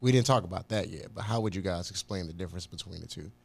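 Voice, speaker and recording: A man's voice: sits low, fast talker, vocal fry, breathy edge. A man hosting a casual podcast chat in an informal, joking way. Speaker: podcast host.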